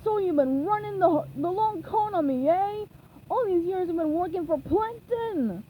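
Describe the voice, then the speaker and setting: A woman voicing a gruff, dramatic middle-aged male crab boss, loud and theatrical with old sea-dog talk. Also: high-pitched, sing-song, measured pace, narrow, tinny audio, a little hiss or hum.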